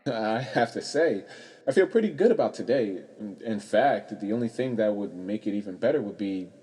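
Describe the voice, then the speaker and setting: An actor playing a shy, lovestruck young stage actor, earnest and hesitant, in a radio play. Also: male voice, on the low side, animated, normal speed, mellow, slightly rough, long reverb tail. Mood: earnest, smitten.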